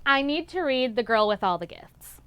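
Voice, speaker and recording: High, clear-voiced young woman chatting about picks. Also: lively intonation, moderate pace, fairly bright tone. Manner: enthusiastic, gushing, repeats words for emphasis. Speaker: book reviewer, vlog host. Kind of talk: casual book vlog chat.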